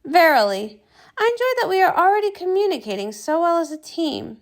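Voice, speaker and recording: A brawny cartoon stallion hero, acted by a woman, blustering and grandly old-fashioned. The voice is animated, pompous and full of heroic bluster. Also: very high voice, brisk, heavily acted. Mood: hearty. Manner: pompous, archaic heroic speech.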